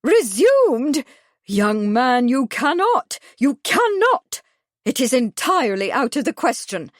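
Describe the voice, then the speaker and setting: A woman doing a shrill outraged old spinster. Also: very animated, slow, no echo, studio quality, exaggerated voice. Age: older. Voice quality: high, shrill voice. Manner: haughty, indignant, declamatory. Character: imperious elderly spinster bird-lover, outraged and shrill.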